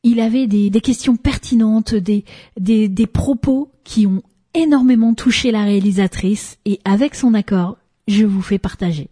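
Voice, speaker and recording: A woman's voice: medium pitch, normal speed, dark tone, slightly rough. A woman presenter giving an enthusiastic voice-over introduction to an interview clip.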